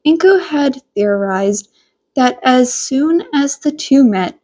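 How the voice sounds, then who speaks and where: A woman's voice: sits high, animated, takes it slowly, darkish tone. A woman reading a scripted story aloud as an amateur audiobook narrator.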